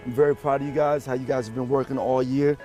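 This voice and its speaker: A man coaching a high school running team outdoors, encouraging his runners. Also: little pitch movement, quick pace, mellow, faint music behind.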